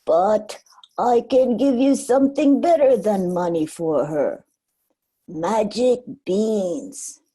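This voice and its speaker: A sly old storybook man acted by a woman, making an enticing offer. The words come slowly and drawn out, with a slightly husky tone. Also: mid-range voice, lively intonation, warm tone.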